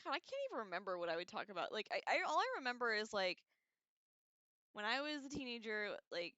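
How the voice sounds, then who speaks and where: Animated, bright-voiced woman streamer. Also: medium pitch, fast talker, dry recording, muffled recording. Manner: irreverent, slangy, swears casually. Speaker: livestream host. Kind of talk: casual rambling read-along discussion.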